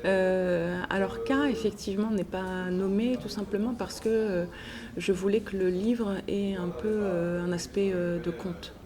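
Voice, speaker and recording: A woman answering interview questions in a hesitant, halting way with frequent pauses. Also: medium pitch, steady tone, a crowd in the background. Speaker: interviewed author and teacher. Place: book festival. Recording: noisy festival ambience. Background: clear ambient noise.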